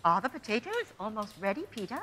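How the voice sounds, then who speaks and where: A woman playing a warm, bustling, fretful mother in a radio play. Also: medium pitch, big pitch swings, fast talker, slight background noise.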